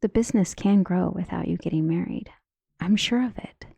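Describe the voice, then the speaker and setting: A woman playing a devoted, deferential maid in an audio drama, speaking in a hushed, earnest, conspiratorial way. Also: lowish voice, moderate pace, gravelly, husky, no echo. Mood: earnest, supportive. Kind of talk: dramatic audio roleplay acting.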